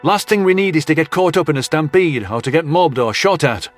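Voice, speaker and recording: A man playing a gruff, wary human soldier who talks in blunt slang. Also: on the high side, expressive, brisk, no echo, close to an everyday voice. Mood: exasperated, wary. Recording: music under the voice.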